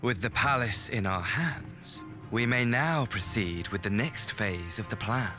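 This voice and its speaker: A man's voice: fairly low pitch, animated, measured pace, over music. A man voicing a flamboyant, mocking male villain servant in a game cutscene, grandiose and sing-song with manic laughter. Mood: scheming. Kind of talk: theatrical game cutscene acting.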